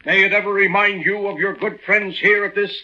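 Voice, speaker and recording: A man's voice: high-pitched, steady tone, a little fast, natural voice. A man playing a pompous, flowery factory boss making a grand speech in a 1940s radio drama. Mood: grand, ceremonious. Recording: dull vintage 1940s radio recording.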